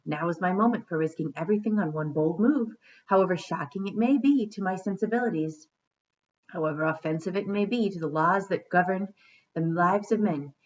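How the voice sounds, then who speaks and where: A woman's voice: lowish voice, fairly quick, natural voice. A woman voicing a proud, upright old male swan who talks in an earnest, self-searching way, on a dull lo-fi recording. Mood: resolute.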